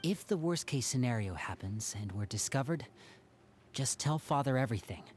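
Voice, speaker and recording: An actor playing an earnest, protective young magician in a game. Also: male voice, medium pitch, animated, normal speed, soft background music, natural voice. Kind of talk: game voice acting.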